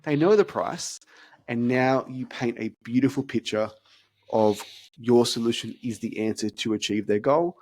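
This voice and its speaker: A man's voice: medium pitch, normal speed, darkish tone, rough recording. A man, casually breaking down a sales script, stuttering and repeating words as he talks. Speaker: fitness business consultant. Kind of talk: casual podcast chat, role-playing sales calls.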